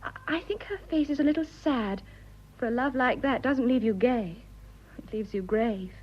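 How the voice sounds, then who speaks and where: Woman acting young British wife, high breathy voice, nervous, gushing idealist, radio play, slightly dull recording. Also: normal speed, lightly acted. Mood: dreamy, earnest. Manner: earnest, hesitant, gushing. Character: romantic, idealistic, nervous young English wife of twenty-five. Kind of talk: stage comedy radio drama acting.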